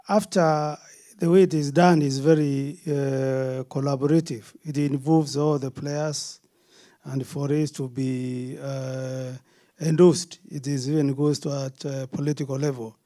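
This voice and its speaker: Mellow, hesitant man answering on a panel. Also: on the high side. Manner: hesitant, with many fillers.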